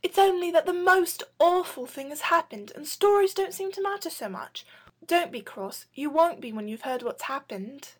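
A high, gentle voice for a kindly young boy, put on by a woman reading. He talks soothingly and earnestly, in a storybook reading. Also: a little fast.

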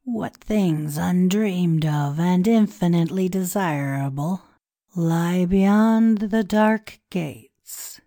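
A grave Egyptian priest from a storybook, played by a woman, speaks in a low, dark voice. The delivery is extremely slow and solemn, with formal, old-world phrasing, recorded dry and close. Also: dry recording. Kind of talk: dramatised storybook reading.

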